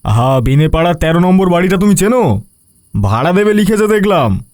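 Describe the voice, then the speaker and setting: A man's voice: high voice, animated, fairly quick, a little mellow, dry recording, clean studio sound. A man acting a polite, questioning young traveller in an audio horror story. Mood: curious, questioning. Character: polite young educated male traveller seeking lodging.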